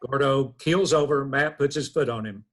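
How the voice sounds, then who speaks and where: A man's voice: mid-range voice, moderate pace. A man reading the stage directions at a table read, plain and matter-of-fact.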